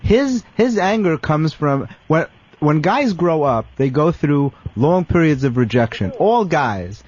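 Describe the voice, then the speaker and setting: A man explains things on a radio panel in a raspy, fairly high voice with lively intonation. He speaks off the cuff. The sound is a little dull. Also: measured pace, dry recording. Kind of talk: unscripted radio discussion. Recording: muffled lo-fi radio recording.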